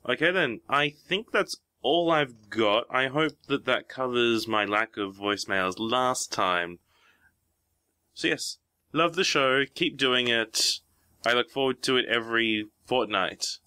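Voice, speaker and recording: A man's voice: mid-range voice, unhurried, sharp tone, smooth, no echo, clean studio sound. A man calling in to a podcast, leaving a prepared voicemail message.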